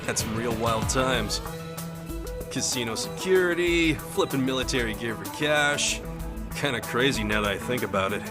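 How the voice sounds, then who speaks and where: An actor with an American accent playing a cocky, slangy, grumbling soldier in a video game cutscene. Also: male voice, mid-range voice, moderate pace, music in the background, close to an everyday voice. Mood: nostalgic, boastful. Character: cocky, gruff young male soldier, slangy thrill-seeker.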